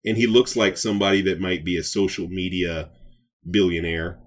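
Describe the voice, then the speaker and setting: A man's voice: deep voice, steady tone, moderate pace. A man co-hosting a casual pop culture podcast, playful and enthusiastic in the way he talks. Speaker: podcast co-host.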